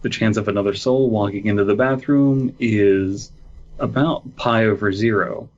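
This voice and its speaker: A man's voice: lowish voice, normal speed, a little mellow. Giggly man reading aloud, dull sound. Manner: giggly, breaks into laughter while reading. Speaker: podcast co-host reading a story aloud. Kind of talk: casual comedic banter and story reading. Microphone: online call mic.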